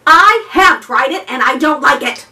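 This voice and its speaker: A loud, grumpy storybook giant who bellows every line, put on by a woman reading aloud. The voice sits high and swings widely in pitch. It is heard from a distant mic with a faint hiss behind it. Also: animated, moderate pace, exaggerated voice. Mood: grumpy, shouting. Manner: roaring, bellowing.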